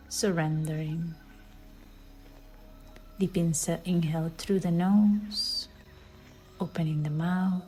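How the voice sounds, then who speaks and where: A woman leading a guided meditation in a soft, soothing way, with phrase endings that fall into breathy near-whispers. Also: low voice. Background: background music.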